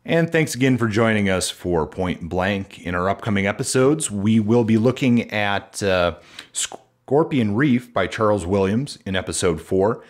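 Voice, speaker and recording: A man hosting a podcast, chatting in a relaxed, hesitant way with plenty of fillers. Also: fairly low pitch, measured pace. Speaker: podcast host. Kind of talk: casual podcast conversation.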